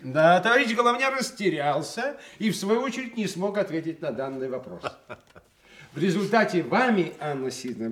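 A man acting in a Soviet radio play as a brisk party secretary, speaking in official phrases with dry, authoritative irony. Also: on the high side. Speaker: actor.

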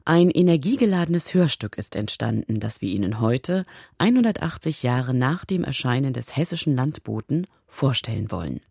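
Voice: mid-range voice, big pitch swings, moderate pace, vocal fry, darkish tone, slightly rough, no echo. Scripted radio introduction, dry studio sound. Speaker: radio presenter introducing an audio drama.

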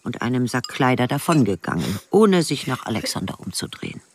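Deep-voiced old village woman, lively intonation. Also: brisk, slight background noise, exaggerated voice. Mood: wry, matter-of-fact. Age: older. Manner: matter-of-fact, dryly blunt. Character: old village woman, plainspoken, wry and caring. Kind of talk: radio drama first-person narration and dialogue.

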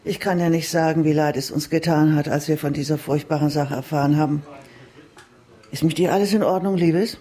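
A woman's voice: deep voice, steady tone, fairly quick, mellow, natural voice. A woman playing a fussy, sympathetic neighbor in a German radio drama, solicitous and apologetic in manner. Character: fussy, sympathetic middle-class woman neighbor addressing a girl.

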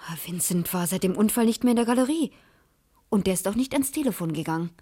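An actress playing a sharp, insistent female private detective who probes and reasons, in a German radio crime drama. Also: mid-range voice, animated, fast, husky, no echo, studio quality. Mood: reasoning. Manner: probing, insistent.

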